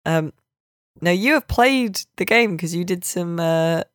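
A woman's voice: on the low side, expressive, a little slow, no room sound, clean studio sound. A woman hosting a podcast, chatting casually in a wry, apologetic way. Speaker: podcast host. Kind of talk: casual chat.

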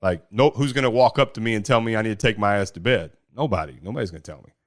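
A man with a big, deep voice, talking bluntly about running a startup in a casual podcast conversation. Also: very fast, dry recording, clean studio sound. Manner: blunt and forceful, with mild swearing. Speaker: startup founder.

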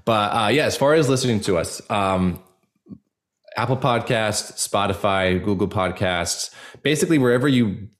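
Very deep-voiced man chatting casually. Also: moderate pace. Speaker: podcast guest, actor and audio drama producer. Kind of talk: casual chat, promoting a show.

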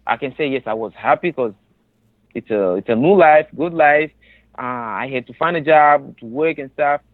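A man's voice: mid-range voice, normal speed, dry recording. A man with a Congolese accent giving earnest personal testimony in an interview, emphatic and often repeating his phrases. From Democratic Republic of the Congo, raised in a refugee camp in Tanzania. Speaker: interview guest, resettled refugee. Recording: narrow, phone-like sound.

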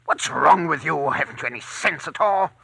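Sharp, imperious crime boss, bright voice. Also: male voice, on the high side, expressive, moderate pace, gravelly, husky, no room sound, heavily acted. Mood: sharp, scolding. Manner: clipped, condescending, formal phrasing. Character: cold, commanding criminal boss, polished and impatient. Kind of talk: old-time radio drama acting.

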